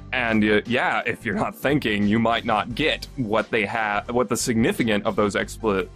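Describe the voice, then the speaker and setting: A man giving a casual spoken review on a podcast, rambling with fillers and restarts and jumping up in pitch on stressed words. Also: a bit bright.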